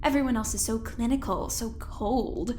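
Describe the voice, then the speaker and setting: Actress as a high-voiced, probing lady doctor. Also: moderate pace, darkish tone, a little hiss or hum, lightly acted. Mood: friendly, probing. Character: cordial, probing female doctor, a visiting scientist. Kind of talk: audio drama acting.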